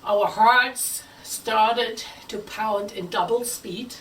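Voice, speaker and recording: A woman telling a story in a theatrical, scripted way, with dramatic pauses and playful asides. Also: on the high side. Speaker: theatre company member telling its history. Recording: faint background noise, distant microphone.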